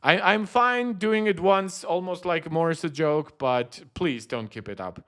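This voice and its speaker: Opinionated high-voiced young man streaming. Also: a little slow, fairly bright tone. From Germany. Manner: rambling, opinionated, frequent restarts and fillers. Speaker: streamer reacting to a tech video. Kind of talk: casual live-stream commentary.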